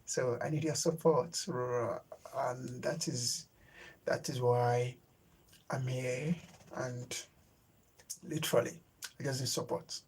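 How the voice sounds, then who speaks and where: A man's voice: mid-range voice, steady tone, slow, long pauses, darkish tone, slight background noise. A young man with a Nigerian English accent, a native Yoruba speaker, chatting casually with viewers on a livestream and searching for his words with lots of fillers. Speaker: livestream host. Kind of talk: casual live chat with viewers.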